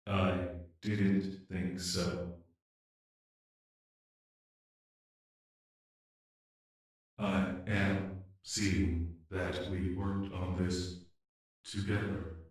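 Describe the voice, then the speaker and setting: Weary, grieving ageing AI played by a man, slow halting delivery, audio drama, very reverberant and off-mic. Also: deep voice, flat delivery. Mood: sad, resigned. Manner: slow, halting, repeats words. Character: ageing experimental humanlike AI, weary and grieving, losing memory. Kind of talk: dramatic audio roleplay monologue. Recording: very echoey, distant from the mic.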